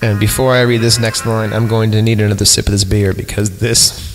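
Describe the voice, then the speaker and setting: A man's voice: lowish voice, little pitch movement, fairly quick, slight background noise, poor audio. A man hosting a podcast, reading a horror story aloud for comedy and dropping in irreverent, casual asides. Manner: irreverent, mock-disgusted, often breaks off to comment. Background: background music.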